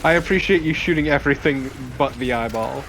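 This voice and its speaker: A man's voice: medium pitch, brisk, a bit bright, a little hiss or hum, poor audio. A man in casual banter as a let's play co-commentator, teasing and sarcastic, with some swearing. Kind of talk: casual banter over gameplay.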